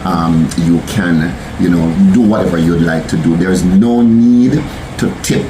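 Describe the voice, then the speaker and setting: Jamaican man, very low voice, upbeat and patient, roomy sound with music under it, interview guest. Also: measured pace, background noise. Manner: upbeat, affirming, explains patiently. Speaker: resort sales manager. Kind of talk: casual Q&A interview.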